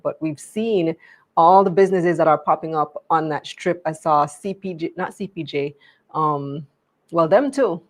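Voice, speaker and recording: A woman with a Jamaican accent hosting a live show in a conversational way. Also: deep voice. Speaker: show host.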